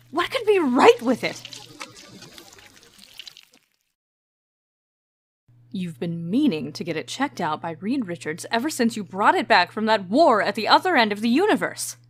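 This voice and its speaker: Woman playing a husky, confident antiheroine. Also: fairly high pitch, very animated, normal speed. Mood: exasperated. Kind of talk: comic dub voice acting.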